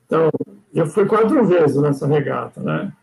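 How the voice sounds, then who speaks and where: An older Brazilian man with a high, gravelly voice tells sea stories in a loose, rambling chat. He keeps adding little questions to his sentences and restarts his thoughts as he goes. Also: expressive, moderate pace, darkish tone, poor audio. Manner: rambling, frequent "né?" tags and restarts. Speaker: guest sailor. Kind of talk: casual storytelling chat.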